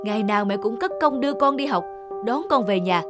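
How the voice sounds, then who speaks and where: A woman voicing an anxious, doting, overprotective mother in an animated moral tale, fussing and scolding. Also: fairly high pitch, fairly quick, natural voice. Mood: upset, reproachful. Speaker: cartoon voice actor. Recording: loud background music. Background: music.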